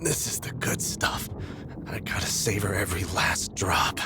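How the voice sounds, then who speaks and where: An actor playing a rough, cocky but devoted young henchman in a game cutscene, mortally wounded, with a casual, irreverent drawl. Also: low voice, measured pace, noisy background. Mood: cocky, savoring pain. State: wounded, strained, fading. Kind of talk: dramatic game cutscene acting. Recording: voice over loud background music.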